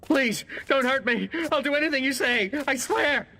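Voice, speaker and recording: A frightened captive in a game cutscene. His frantic begging comes out shrill and extremely high, with faint noise around it. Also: moderate pace, bright, forceful tone, full character voice. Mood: panicked pleading. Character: terrified, pleading male human captive. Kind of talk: video game cutscene acting.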